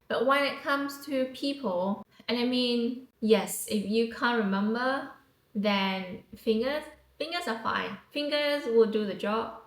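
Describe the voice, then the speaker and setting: Woman, Vietnamese accent, young adult, bubbly and casual, fairly high voice, echoey room. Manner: excitable, repeats phrases for practice, playful slang. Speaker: travel vlogger teaching phrases. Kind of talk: casual chatty lesson. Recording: roomy echo.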